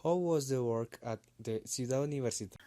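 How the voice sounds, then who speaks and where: A man's voice: medium pitch, fairly quick, dark tone, lightly acted. A man playing a polite professor who hosts a scripted interview broadcast. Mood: polite, attentive. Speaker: interview host. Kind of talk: scripted presenting in English.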